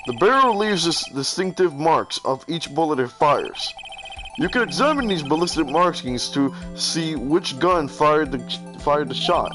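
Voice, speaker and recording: A man's voice: on the high side, lively intonation, unhurried, over music. A man voicing a flustered, easily bullied courtroom judge in a video game, stammering and hesitant. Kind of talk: video game dialogue voice-over.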